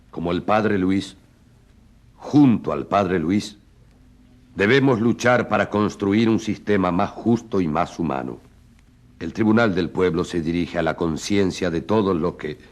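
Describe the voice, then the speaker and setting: An actor playing a formal, declamatory judge who presides over a tribunal in a radio drama. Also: male voice, sits low. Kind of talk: radio drama courtroom speech.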